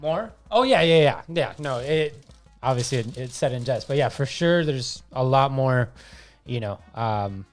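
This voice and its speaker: A man's voice: mid-range voice, measured pace, faint music behind. Easygoing joking man in his thirties. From Argentina. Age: 30s. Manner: relaxed, joking, rambling with fillers. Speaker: podcast guest. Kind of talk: casual podcast chat.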